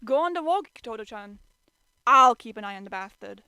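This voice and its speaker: A hot-tempered young cat-man from an anime story, acted out by a woman, bursts out loud and forceful. He spits his words defensively and lets his volume leap. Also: fairly high pitch, animated, normal speed, clean tone, dry recording. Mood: exasperated. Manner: loud, spat-out, vehement.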